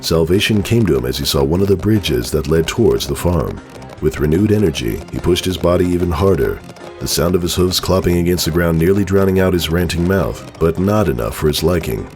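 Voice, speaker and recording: A man with an extremely low voice narrates a story in a dramatic scripted reading. Music plays behind him. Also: animated, fairly quick, darkish tone.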